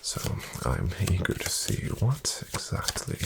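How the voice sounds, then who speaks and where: A man doing a soft-spoken ASMR roleplay as a courteous casino dealer, breathy and often near a whisper, in formal, measured patter. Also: very noisy. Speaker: ASMR performer playing a casino card dealer. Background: card shuffling and clicks.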